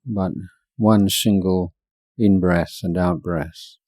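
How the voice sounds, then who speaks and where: Very slow, low-voiced male meditation teacher. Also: steady tone, warm tone, no echo. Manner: measured, with long pauses between short phrases. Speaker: Buddhist monk teaching meditation. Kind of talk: guided meditation instruction.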